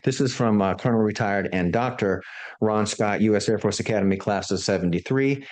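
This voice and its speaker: A man's voice: fairly low pitch, fairly quick, a little mellow. A man hosting a discussion and reading out questions informally, hesitant and often stumbling over his words. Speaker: host and moderator.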